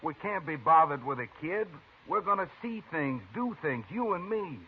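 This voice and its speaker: An actor playing a slick, selfish small-time gambler in a 1950s radio drama, smooth-talking and evasive. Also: male voice, fairly high pitch, lively intonation, normal speed, lightly acted. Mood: persuasive. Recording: tinny vintage radio broadcast with hiss.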